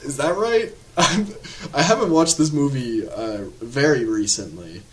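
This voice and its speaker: Animated, rambling young-sounding man reviewing films. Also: medium pitch, a little slow. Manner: animated, rambling, lots of filler words and laughs. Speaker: horror film reviewer. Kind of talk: casual spoken film review.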